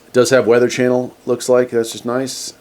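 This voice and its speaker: A man's voice: mid-range voice, monotone, normal speed, darkish tone, slight background noise. A man hosting a casual hands-on product review, talking in a laid-back, folksy way. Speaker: host of a radio gear review channel.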